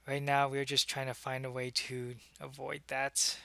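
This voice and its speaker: Casting: male actor for secretive alien operative, ASMR roleplay. Medium-pitched, gravelly, earnest and hesitant as he explains himself, close dry sound. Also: completely flat delivery, unhurried, pushed and bright, no echo. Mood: hesitant, explanatory. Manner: hesitant, explanatory, intimate one-sided conversation. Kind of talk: ASMR roleplay, acted monologue to the listener.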